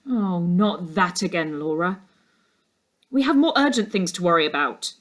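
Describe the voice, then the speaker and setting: A woman voicing a serious ex-detective uncle in a storybook, speaking in a measured, authoritative way. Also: fairly low pitch, expressive, a bit bright. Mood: weary. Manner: measured, commanding, matter-of-fact.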